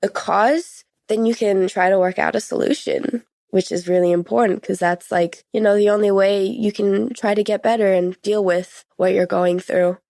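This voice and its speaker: Teenage female, podcast guest, conversational, earnest, casual phrasing, medium pitch, dry recording. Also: fairly even intonation, moderate pace, vocal fry, few pauses, dark tone, no echo. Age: teens. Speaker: podcast guest sharing personal experience. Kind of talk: conversational interview.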